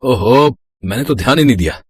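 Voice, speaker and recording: A man's voice: mid-range voice, lively intonation, brisk, slightly rough, no echo. A man playing an easygoing young husband in a cartoon moral story, casual, reassuring and teasing.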